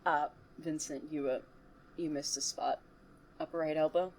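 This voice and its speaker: A woman's voice: deep voice, fairly even intonation, normal speed, slight background noise, poor audio. A woman playing a smug, cryptic student who mocks and needles theatrically in an audio drama. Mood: smug, dry.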